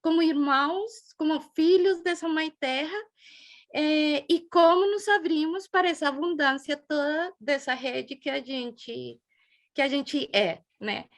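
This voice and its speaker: High-pitched Brazilian woman, gentle host. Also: a little slow. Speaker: event mediator and host. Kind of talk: heartfelt opening of a group gathering.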